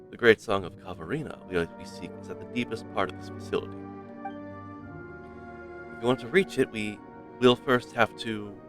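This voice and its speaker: A man's voice: lowish voice, fairly bright tone. A man voicing a talkative, self-assured ruins researcher from a game, reading the dialogue in a formal, explanatory way over music. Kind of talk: read-aloud game dialogue.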